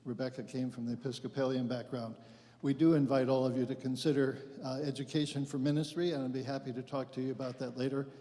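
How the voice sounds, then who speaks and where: A man's voice: mid-range voice, little pitch movement, fast talker, dark tone. A man introducing himself in a class, plain and matter-of-fact. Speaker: class participant. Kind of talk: casual self-introduction. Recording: long church-hall reverb.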